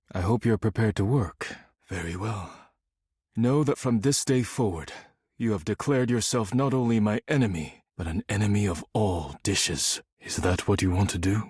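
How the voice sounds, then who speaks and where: A male actor playing a stern, proud, easily offended dishware character in a video game, speaking formally and curtly with solemn deadpan. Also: lowish voice, breathy edge, no echo, clean studio sound. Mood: disapproving, cold. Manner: formal, curt, deadpan, solemn, avoids contractions.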